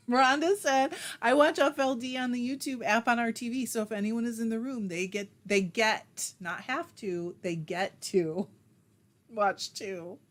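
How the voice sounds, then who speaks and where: A woman's voice: on the high side, a little slow. A woman hosting a casual livestream, reading viewer comments aloud and chatting back in a self-deprecating way, laughing often. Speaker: livestream host.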